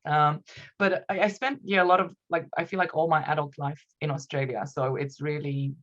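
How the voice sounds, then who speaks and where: A woman's voice: low voice, steady tone, moderate pace, muffled recording. A woman in her thirties with a Romanian accent, a native Romanian speaker, talking as a podcast guest in a reflective, hesitant way with frequent laughs. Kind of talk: conversational interview.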